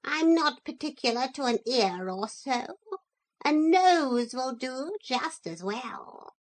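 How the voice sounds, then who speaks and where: A woman's voice: high voice, very animated, takes it slowly, voice pushed up, heavily acted. A woman voicing a proud, courteous male parrot who talks in a polite, formal way while making cool threats.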